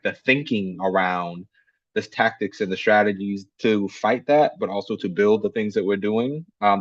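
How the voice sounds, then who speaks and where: A man with a fairly low voice moderates a panel over a video call. He talks loosely, circling back with restarts and fillers. The call audio sounds dull and dry. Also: dry recording. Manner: rambling, many restarts and fillers. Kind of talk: casual panel discussion.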